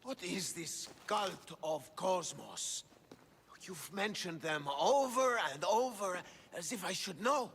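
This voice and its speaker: A man's voice: high-pitched, expressive, slow, creaky, fairly bright tone, slightly rough, full character voice. An actor playing a proud, indignant Spartan king in a video game, with an imperious, declamatory manner. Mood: stern, doubting. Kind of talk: dramatic video game acting. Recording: reverberant hall, noisy ambience.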